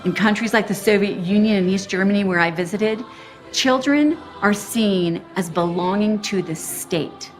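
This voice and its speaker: A woman narrating a documentary in an earnest, measured way. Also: mid-range voice, faint music behind.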